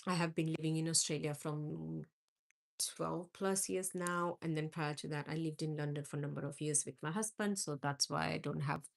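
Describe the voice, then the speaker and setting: A woman's voice: sits low, fairly even intonation, moderate pace, creaky. A middle-aged woman from India hosting a live stream, chatting with viewers and answering their comments. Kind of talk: casual live-stream chat.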